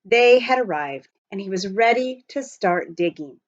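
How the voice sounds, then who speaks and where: A woman reading a children's novel aloud as scripted narration, with expressive storytelling. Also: medium pitch, unhurried, no echo, highs cut off. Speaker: audiobook reader.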